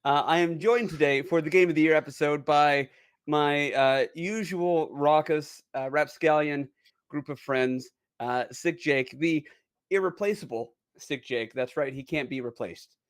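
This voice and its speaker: A man's voice: fairly high pitch, measured pace. A man hosting a gaming podcast with casual, jokey banter and mock-grand flourishes. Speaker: podcast host.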